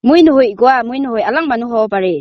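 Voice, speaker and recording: A woman's voice: a little high, lively intonation, quick pace, no echo, highs cut off. A woman in a casual phone argument, protesting defensively and sounding exasperated. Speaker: prank call recipient.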